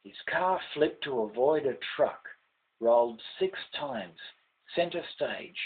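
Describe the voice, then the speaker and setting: A man's voice: fairly high pitch, narrow, tinny audio, slight background noise. An older Australian man recites a rhymed ballad in a declamatory, sing-song way, letting his voice fall at the ends of lines. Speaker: poet reading own poem.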